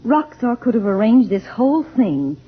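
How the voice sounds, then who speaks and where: An actress playing an anxious, doubting middle-aged mother in an old-time radio adventure, expressive and husky. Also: on the high side, normal speed, exaggerated voice. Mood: worried. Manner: worried, skeptical, expressive. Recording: muffled vintage radio recording.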